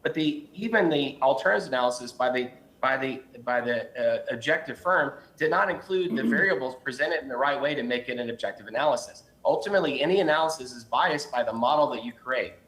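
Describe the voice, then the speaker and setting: A man's voice: medium pitch, lively intonation, quick pace, hardly any pauses, a bit bright, low-quality recording. A man on a city council arguing against a project at a public meeting, earnest and insistent with frequent restarts, losing his voice.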